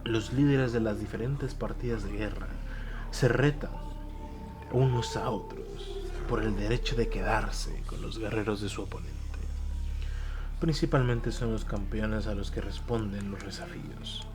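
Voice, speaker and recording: Man playing a seasoned male champion, languid, ominous, very slow pacing, lowish pitch, audio drama over music. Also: natural voice. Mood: ominous explaining. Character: languid, knowing male warrior champion, veteran of arenas.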